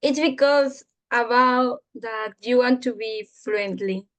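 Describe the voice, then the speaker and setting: A woman's voice: a little high, takes it slowly, fairly bright tone. A woman in her twenties from Peru, hosting a casual English practice conversation in learner English, earnest and encouraging. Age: 20s. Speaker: conversation host.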